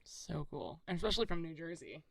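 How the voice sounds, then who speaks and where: A woman's voice: deep voice, animated, a little fast, creaky. A woman in her twenties from Miami, co-hosting a podcast, chatting in a bubbly way with lots of "like.". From Miami, United States. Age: 20s. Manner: bubbly, heavy use of "like". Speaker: podcast co-host. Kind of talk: casual chat.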